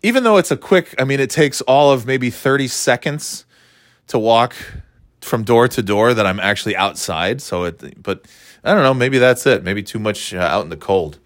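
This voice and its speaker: A man's voice: mid-range voice, moderate pace, dry recording. Rambling middle-aged man, dry close mic. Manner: meandering, self-interrupting, playfully excitable. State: slightly stuffy nose. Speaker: podcast host. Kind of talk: rambling solo chat.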